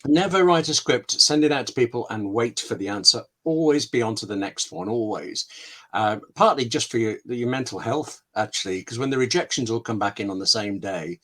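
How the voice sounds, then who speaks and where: A British man speaking as a guest scriptwriter, giving conversational writing advice in an emphatic, discursive way with dramatic pauses. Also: medium pitch, expressive, pushed and bright.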